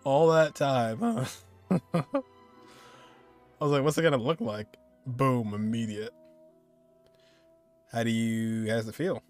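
A man's voice: mid-range voice, slow pace, long pauses, a little mellow, soft background music. A man in his twenties giving casual, joking, slangy reaction commentary as he streams a video game. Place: indoors, streaming at a desk.

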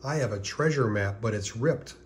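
A man's voice: on the low side, moderate pace, slight background noise, lightly acted. A man voicing a young, friendly boy dragon from a children's storybook in a plain, earnest way. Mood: explaining. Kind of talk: children's storybook character reading.

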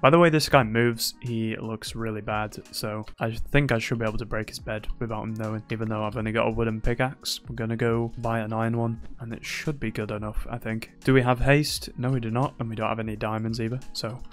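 A young man talks through a match in casual commentary, teasing his opponents and bragging in jest. His voice sounds a little worn from heavy use, and soft music plays faintly underneath. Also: lowish voice, little pitch movement, fairly quick, few pauses. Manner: playful, teasing, boastful banter. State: slightly losing his voice. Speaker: gaming YouTuber.

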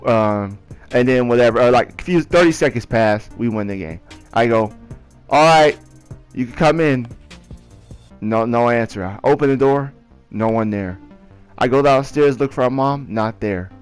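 A young man telling personal stories in a casual, rambling, slangy and excitable way. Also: mid-range voice, moderate pace, clear, resonant tone. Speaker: video creator telling personal ghost stories. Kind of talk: casual storytelling over gameplay. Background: music.

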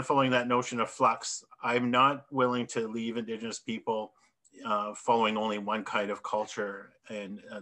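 A man's voice: medium pitch, bright, forceful tone. Reflective man on a video call. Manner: reflective, gestures while talking, self-correcting. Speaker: artist presenter. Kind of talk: thoughtful panel discussion. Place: home office with bookshelves and plants. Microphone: video call webcam.

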